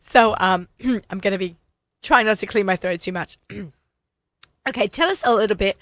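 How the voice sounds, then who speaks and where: A woman hosting a radio show, speaking in a hoarse voice with a bubbly, effusive manner and frequent throat clearing, recorded in a studio. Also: medium pitch, moderate pace, dry recording. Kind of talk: casual radio chat.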